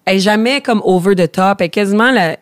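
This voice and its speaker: A woman's voice: mid-range voice, quick pace, dry recording, studio quality. Chatty Québécois woman comedian. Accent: Québécois French. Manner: chatty, self-deprecating, many fillers and restarts. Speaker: guest, comedian. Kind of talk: casual podcast conversation.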